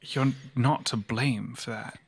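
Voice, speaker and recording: A man playing a consoling, remorseful investigator in an improvised cyberpunk tabletop game, earnest and halting. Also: mid-range voice, fairly even intonation, vocal fry, slightly rough, dry recording, heavily acted.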